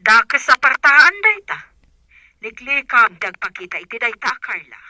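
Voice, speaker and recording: An actress playing a concerned aunt on a phone call in a radio drama, with an Ilocano accent and a telephone filter. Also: female voice, on the high side, big pitch swings, unhurried, pushed and bright, no room sound, heavily acted. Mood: concerned, urging. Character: concerned aunt abroad giving advice by phone.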